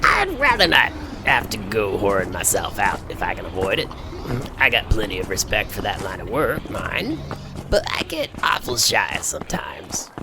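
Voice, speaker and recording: A man's voice: lowish voice, huge pitch swings, moderate pace. A man playing a folksy, brash but easily flustered space trucker with a Southern US accent in an audio drama. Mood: folksy, easygoing. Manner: drawling folksy talk, blustering. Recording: music behind the voice.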